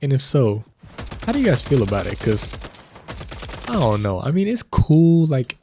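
A man's voice: medium pitch, moderate pace, a little hiss or hum. Stuffy-nosed man chatting over gameplay. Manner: chatty, addresses viewers as fellas, excited outbursts. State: stuffy nose from a cold. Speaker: gaming channel host. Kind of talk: casual gameplay commentary. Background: faint game gunfire.

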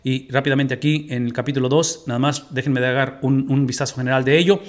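A man's voice: mid-range voice, fairly quick. Mexican man teaching a Bible study. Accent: Mexican Spanish. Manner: animated, gestures while teaching, asks the audience rhetorical questions. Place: home study room.

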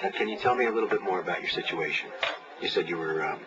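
A man's voice: deep voice, expressive, fast, a crowd in the background. A man playing an easygoing, direct private investigator interviewing a client in a naturalistic audio drama. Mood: casual, businesslike. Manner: casual, direct. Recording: lo-fi tape, loud cafe noise.

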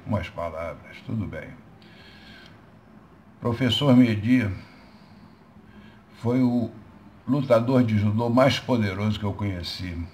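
Slow, solemn older man. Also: fairly low pitch, a little mellow, a little hiss or hum. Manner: slow, measured, voice dropping at phrase ends. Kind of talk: solemn spoken tribute. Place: indoor living room. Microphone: webcam or phone close up.

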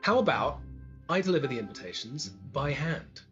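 A man's voice: mid-range voice, very animated, moderate pace, a bit bright, faint music behind. A young man playing a breezy, cocky, chatty valet in a pantomime. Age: young adult. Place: living room at home. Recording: dull video-call audio.

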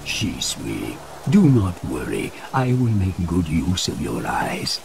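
An actor playing a ruthless, scheming old ninja elder, measured and menacing, in an anime game dub. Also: male voice, low-pitched, big pitch swings, gravelly, heavy background noise, heavily acted. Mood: coldly determined. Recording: music behind the voice.

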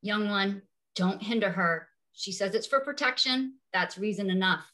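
A woman playing a measured animal council elder in a dramatic play reading. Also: mid-range voice, pushed and bright, close to an everyday voice. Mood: stern.

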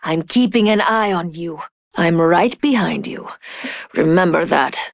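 Game heroine: proud, vengeful thief, lowish sultry voice, icy disdain, close dry recording. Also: female voice, measured pace, vocal fry, dry recording. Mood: scornful, bitter.

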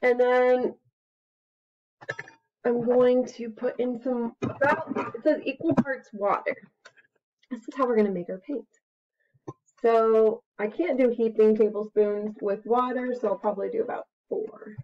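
A woman in her thirties with an expressive, singsong manner, talking to young children as an educator. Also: on the high side, measured pace. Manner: expressive, singsong, encouraging young listeners to join in. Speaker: children's educator and story reader. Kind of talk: animated read-aloud and casual craft demonstration for young children. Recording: slightly dull webcam recording.

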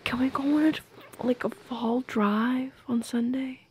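A young woman in an intimate girlfriend audio roleplay, speaking softly and close to the mic with playful, teasing affection. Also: high-pitched, fairly even intonation, takes it slowly, slightly rough. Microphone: close microphone, dry.

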